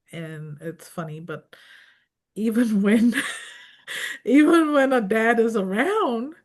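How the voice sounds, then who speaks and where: A woman's voice: mid-range voice, a little mellow. Reflective middle-aged woman from India. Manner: reflective, many fillers and asides. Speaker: podcast host. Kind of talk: conversational interview chat.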